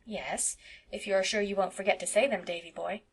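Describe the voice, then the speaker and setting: A woman voicing a gentle, imaginative young woman who talks softly and patiently to a child. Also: mid-range voice, steady tone, normal speed, slightly rough. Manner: soft and patient, speaking kindly to a child.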